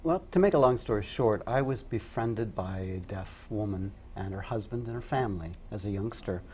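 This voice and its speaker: A man from Ohio answering interview questions about sign language, telling stories in an animated way. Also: fairly low pitch, a little fast, a little hiss or hum. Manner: animated storytelling. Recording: dull lo-fi studio recording.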